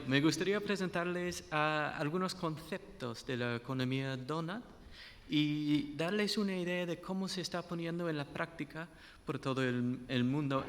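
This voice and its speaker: A man's voice: medium pitch, in a large hall. A man speaking Spanish with an English-speaking accent, a guest researcher giving a friendly conference talk with slides and questions to the audience. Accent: English-speaking learner of Spanish. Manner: friendly, asks the audience questions, some restarts.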